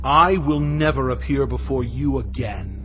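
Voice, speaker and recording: An actor playing a stern, impassioned Hebrew prophet in a dramatized audio Bible, proclaiming in a declamatory way. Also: male voice, mid-range voice, unhurried, highs cut off, over music, close to an everyday voice. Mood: defiant.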